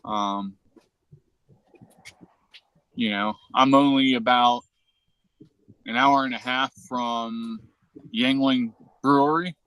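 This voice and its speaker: An easygoing American man chats as host of a home livestream, loose and informal, dropping the odd curse. His voice is plain and mid-pitched, recorded dry and close with no room around it. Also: fairly even intonation, slow pace, pauses often, a bit bright, clean tone, dry recording, rough recording. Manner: easygoing, swears casually, reads chat aloud. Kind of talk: casual chat.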